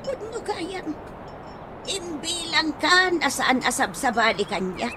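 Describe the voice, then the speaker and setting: Actress as wronged woman, high swooping voice, reproachful, slow scolding, radio drama, noisy recording. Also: big pitch swings, full character voice. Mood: reproachful, hurt. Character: reproachful woman betrayed by her indebted best friend. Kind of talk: radio comedy drama acting.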